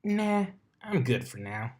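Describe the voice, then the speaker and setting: A man's voice: medium pitch, sing-song, takes it slowly. A man voicing a brash, bossy young female pegasus pony in a flippant way for a story reading. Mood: bossy, cocky.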